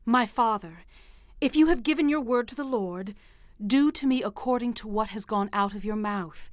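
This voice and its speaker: A woman's voice: fairly high pitch, moderate pace, no echo, close to an everyday voice. A woman playing a young, submissive, sorrowful daughter in a dramatized audio Bible, speaking earnestly and formally. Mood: resigned, earnest.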